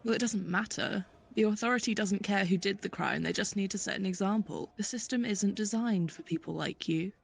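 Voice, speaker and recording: A woman's voice: medium pitch, a little fast, gravelly, slightly rough. A woman playing a cynical prison officer in a dystopian audio drama, wry and matter-of-fact.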